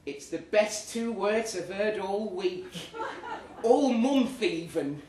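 Man playing a lovesick, pompous British office worker, animated. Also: high voice, slow, pitched above the performer's own voice. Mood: besotted. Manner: earnest, self-important, theatrical. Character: lovesick, pompous, self-deluded male office worker diarist. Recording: roomy live pub recording, distant, faint noise.

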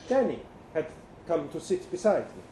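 A man's voice: fairly high pitch, very animated, normal speed, dark tone. A man reading a short story aloud as an earnest, bewildered professor from the future, speaking formally and hesitantly with precise diction. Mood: wistful. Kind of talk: dramatic first-person short story reading. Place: indoor live literary reading event. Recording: roomy live venue, faint background noise.

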